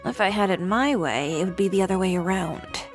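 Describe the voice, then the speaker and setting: An actress playing a cool, blunt young fashion designer in a video game, speaking in a composed, dry-witted, brisk way. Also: female voice, mid-range voice, animated, soft background music, lightly acted. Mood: wry.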